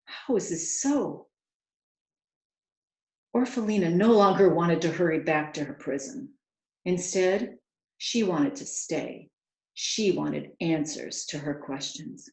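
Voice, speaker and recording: Storybook narrator, woman, unhurried and earnest, fry in the voice, roomy sound. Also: on the low side, darkish tone. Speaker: children's author reading aloud. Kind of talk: expressive storybook read-aloud narration. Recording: roomy, echoing home recording.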